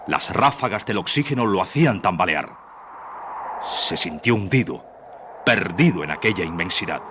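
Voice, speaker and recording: A man's voice: low-pitched, expressive, normal speed, background noise. A man narrating a suspense radio drama in a solemn, theatrical, scripted style.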